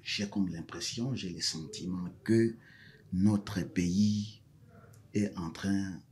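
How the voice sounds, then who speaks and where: A man's voice: low voice, fairly even intonation, slow pace, a little mellow. A male professor from South Kivu, a Mashi speaker, answering interview questions hesitantly and then with emphatic repetition. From South Kivu, DR Congo. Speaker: guest, professor.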